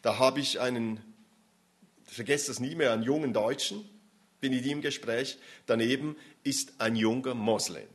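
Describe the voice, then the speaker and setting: A man's voice: medium pitch, moderate pace. A man preaching an interactive sermon, emphatic and addressing the audience directly.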